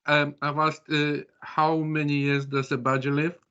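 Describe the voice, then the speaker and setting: A man attending an online meeting, speaking in a hesitant, halting way. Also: on the high side, level tone, sharp tone, distant mic. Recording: muffled video call audio.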